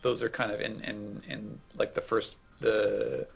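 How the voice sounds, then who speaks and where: A man chatting casually about manga as a podcast co-host, in a hesitant, halting way. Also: fairly low pitch, flat delivery, vocal fry, slightly rough.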